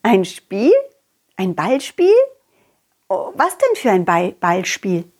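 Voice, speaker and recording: A woman voicing a kind, loyal, confident dog in a children's story, musing gently and simply. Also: medium pitch, sing-song, slow pace, breathy edge, exaggerated voice. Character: confident, loyal, kind dog hero reassuring his friend.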